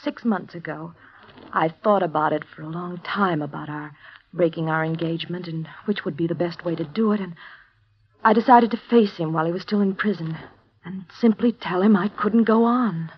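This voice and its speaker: A woman's voice: fairly low pitch, normal speed, slightly rough. An actress playing a frightened young woman who speaks anxiously and hesitantly, in a vintage radio drama. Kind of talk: 1950s radio drama acting. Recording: dull lo-fi vintage radio broadcast.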